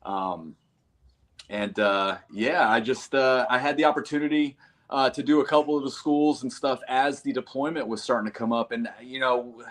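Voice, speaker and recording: A man's voice: medium pitch, steady tone, normal speed, fairly bright tone. A man in his thirties from North Carolina, a combat veteran talking casually and earnestly as an interview guest. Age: 30s. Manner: earnest, folksy, calls listeners man and brother.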